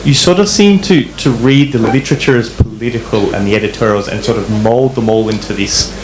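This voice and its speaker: A man from the audience, an academic, asking a long, winding question that grows animated. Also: mid-range voice, a little fast, reverberant, a crowd in the background, low-quality recording. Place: club event room.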